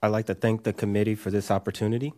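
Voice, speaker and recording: A man in his thirties, a small business owner, reading personal testimony at a hearing in a steady storytelling manner. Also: on the low side, very fast, dry recording, studio quality. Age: 30s. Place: hearing room.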